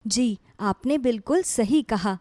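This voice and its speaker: A woman plays a devoted village wife and mother from a cartoon tale. She sounds high and animated, with a worried, pleading manner. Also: takes it slowly, no room sound, clean studio sound.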